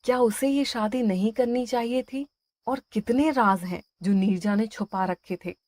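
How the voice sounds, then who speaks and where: A woman's voice: a little high, unhurried, a little mellow, dry recording, clean studio sound. A woman telling a scripted dramatic story as its narrator.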